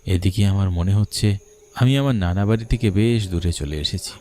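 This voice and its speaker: A man's voice: low-pitched, moderate pace, a little mellow, slight background noise. A man narrating a scripted first-person horror story in a dramatic, suspenseful manner.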